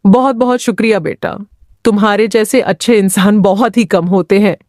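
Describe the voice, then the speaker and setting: A woman playing a kindly, grateful old village woman in a cartoon story, speaking in a gentle motherly manner. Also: mid-range voice, normal speed, dry recording, studio quality, natural voice.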